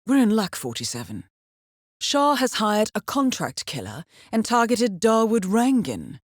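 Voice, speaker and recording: An actress playing a cool, dryly witty spy handler in a video game, with a British accent and a measured, polished, understated delivery. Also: female voice, medium pitch, a little mellow, no room sound, clean studio sound. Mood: calm, watchful. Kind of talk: scripted video game voice acting, mission briefings.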